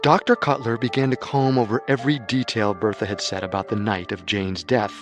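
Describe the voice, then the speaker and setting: A man narrating a true-crime radio story in a measured, skeptical way over soft music. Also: lowish voice, fairly even intonation. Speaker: narrator and co-host.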